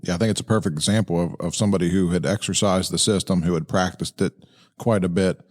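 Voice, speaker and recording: A man giving conversational commentary as a podcast host, explaining things in a halting, stop-and-start way. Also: sits low, steady tone. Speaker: podcast host breaking down fire radio traffic.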